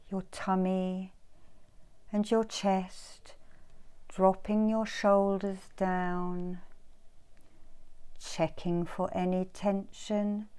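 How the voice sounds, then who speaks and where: A woman leading a guided meditation as a teacher, giving gentle, soothing instructions with repeated phrases and pauses. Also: medium pitch, clear, resonant tone.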